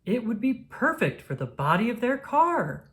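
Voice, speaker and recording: A woman reading a children's story aloud, with expressive, deliberate phrasing for young listeners. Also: a little high. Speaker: storybook reader. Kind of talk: children's read-aloud narration.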